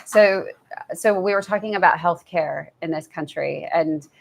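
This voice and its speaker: A soft-spoken woman with a low voice and a gravelly edge, talking as an author guest at a live event. She is thoughtful, restarts her sentences often, and keeps a gentle tone. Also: a little slow. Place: event venue with audience.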